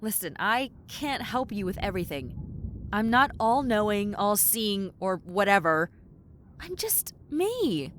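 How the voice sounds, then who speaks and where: A woman's voice: mid-range voice, sing-song, unhurried, fairly bright tone, slight background noise. A woman playing a centuries-old tomboy succubus in an audio roleplay, wry and flustered but kind, talking casually to the listener. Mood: exasperated.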